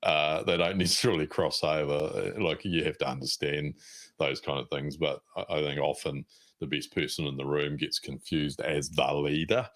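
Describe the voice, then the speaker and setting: A man's voice: rumbling low, level tone, moderate pace, fairly bright tone. A man from New Zealand with a New Zealand accent, hosting a podcast interview in a folksy, rambling way, full of uhs. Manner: folksy, colloquial, uh-filled rambling. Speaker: podcast host. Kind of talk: casual interview talk.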